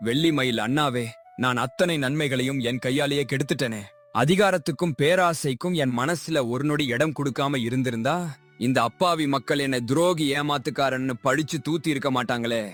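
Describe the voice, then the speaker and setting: A man's voice: medium pitch, a little fast, fairly bright tone, faint music behind, lightly acted. A man acting a poor, honest young villager in a moral story, speaking in an earnest, impassioned, declamatory way. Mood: remorseful.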